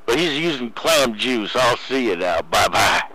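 A man's voice: medium pitch, lively intonation, unhurried, background noise. A man teasing in casual CB slang over a radio, repeating his phrases. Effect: CB radio transmission. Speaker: CB radio operator.

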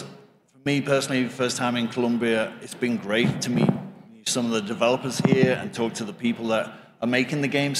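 A man's voice: mid-range voice, slight background noise. Older man on a reverberant conference stage. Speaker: panelist, game industry executive. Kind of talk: informal panel remarks. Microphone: handheld microphone. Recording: reverberant hall stage sound.

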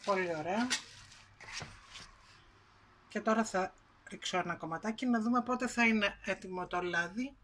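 A woman hosting a home cooking video, calmly walking through the ingredients and steps in her kitchen. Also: medium pitch, pauses often. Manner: calm step-by-step narration, listing ingredients. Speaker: cooking show host.

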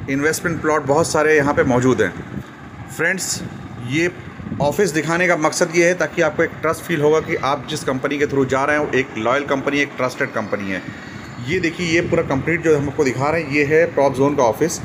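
A man's voice: on the high side, background noise. Man giving a promotional property tour. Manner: promotional, repetitive pointing-out. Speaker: property video host. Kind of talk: walking real estate tour narration. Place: outdoors by roads and at an office.

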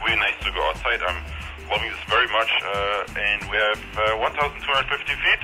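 Low-voiced man over a walkie-talkie radio. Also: a little fast, music in the background, rough recording. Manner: repeats phrases as radio announcements. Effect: heard over a walkie-talkie radio. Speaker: product reviewer. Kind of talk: casual running test commentary. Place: outdoors, transmitted by two-way radio.

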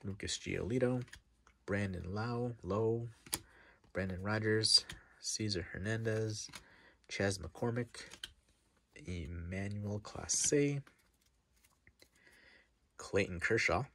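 A man's voice: fairly low pitch, takes it slowly, creaky, long pauses, sharp tone, slight background noise. A middle-aged man with a stuffy nose chatting casually as he opens packs of cards at his desk, reading out names and sometimes dropping to a near-whisper. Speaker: card-opening vlogger. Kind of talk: casual commentary while opening card packs. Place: desk in front of a wall of card boxes.

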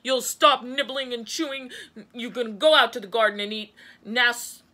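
A woman's voice: on the high side, sing-song, slow pace, pushed and bright, full character voice. A woman voicing a kindly but bossy male house ghost in a storybook, with a commanding, scolding manner. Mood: stern, exasperated.

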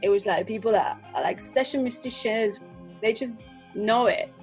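A woman's voice: on the high side, normal speed, a little mellow, soft background music. A young woman chatting casually as a musician being interviewed over a video call from a room at home. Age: young adult. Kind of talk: casual chat.

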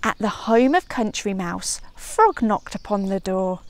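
A middle-aged woman reading a children's storybook aloud outdoors in an expressive, measured teacher's way. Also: medium pitch. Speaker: early years teacher. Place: outdoors in a leafy woodland garden.

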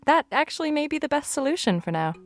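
A woman's voice: high voice, very animated, fast, faint music behind. A woman voicing a shrewd, composed gang boss around forty, businesslike and knowing in a character demo. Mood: knowing, insistent.

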